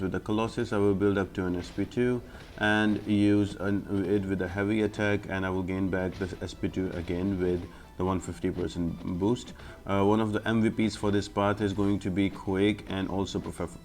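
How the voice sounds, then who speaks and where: A man's voice: sits low, a little mellow. A man giving step-by-step gameplay walkthrough commentary as the host of a guide video, explaining as he plays.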